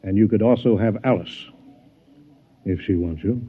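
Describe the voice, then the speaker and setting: A man's voice: fairly low pitch, moderate pace, very noisy, lightly acted. An actor playing a cold, ruthless wealthy businessman who lectures in a 1950s radio drama. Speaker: rich rival. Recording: thin phone-like old radio sound.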